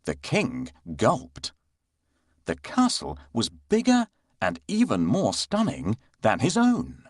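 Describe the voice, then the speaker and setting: A man narrating a children's bedtime story in an expressive storytelling manner. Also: mid-range voice, slow, no echo, studio quality.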